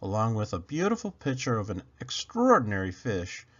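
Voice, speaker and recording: A man's voice: fairly low pitch, huge pitch swings, moderate pace, fairly bright tone. A man reading a children's picture book aloud expressively, with dramatic emphasis and questions put to the listeners. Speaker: storyteller reading picture books aloud, channel host. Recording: dull lo-fi sound.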